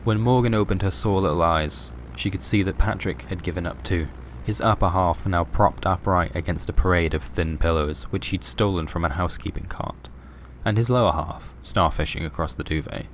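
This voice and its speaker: Male storyteller for surreal horror fiction, low voice, scripted narration over clearly audible background noise. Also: normal speed.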